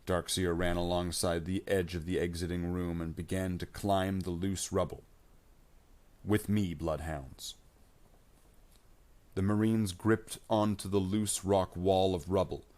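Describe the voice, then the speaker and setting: A man's voice: deep voice, a little mellow. A man reading scripted science fiction in an audiobook style, narrating plainly without putting on character voices.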